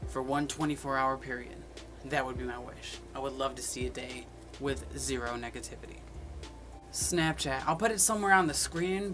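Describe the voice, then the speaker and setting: A man's voice: medium pitch, sharp tone. Chatty young man over background music. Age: 20s. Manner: chatty, reads each question aloud, then answers. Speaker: vlogger. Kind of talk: casual chat answering questions to camera. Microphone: phone.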